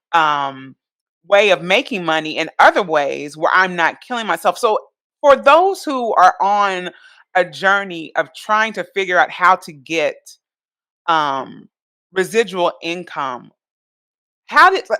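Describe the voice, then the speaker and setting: Woman, podcast host, bright voice, enthusiastic, chatty, says "y'all" and "like", dry close sound. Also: fairly low pitch, no room sound. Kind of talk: casual podcast chat.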